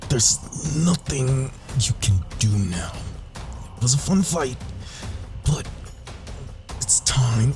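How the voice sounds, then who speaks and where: A man playing a sly, cocky rogue assassin in a fantasy audio roleplay, speaking softly and theatrically, often dropping into whispers. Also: expressive, slow, pauses often, over music. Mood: menacing, triumphant. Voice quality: low, gravelly, husky.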